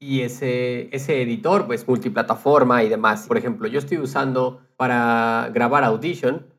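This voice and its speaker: A man's voice: mid-range voice, steady tone, normal speed, a bit bright. A man podcaster chatting casually and explaining things conversationally, checking in with his listener as he goes.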